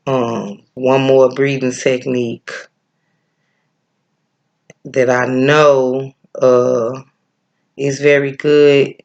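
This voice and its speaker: A man with a Midwestern accent giving a casual breathing lesson, laid-back and chatty, often pausing with fillers as he searches for a name. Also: mid-range voice, highs cut off. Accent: Midwestern American. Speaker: breathwork and yoga teacher. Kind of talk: casual spiritual teaching, rambling.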